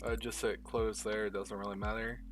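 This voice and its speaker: A man narrating a step-by-step software tutorial in a casual, informal way, talking through each step as he does it. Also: lowish voice, flat delivery, normal speed, far from the mic. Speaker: tutorial host. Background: background music.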